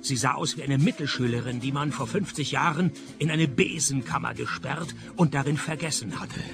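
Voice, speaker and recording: An actor playing a sardonic, weary private detective, narrating a comic hard-boiled radio play in a deadpan manner. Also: male voice, mid-range voice, normal speed, vocal fry, over music, close to an everyday voice. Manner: deadpan, dry wit. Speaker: narrator and main character.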